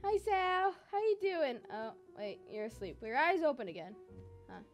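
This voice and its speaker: Very high-pitched, playful young woman gamer. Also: big pitch swings, normal speed. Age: young adult. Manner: giggly, playful. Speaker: gaming video host. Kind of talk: playful gameplay roleplay chat. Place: indoor home gaming room. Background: music.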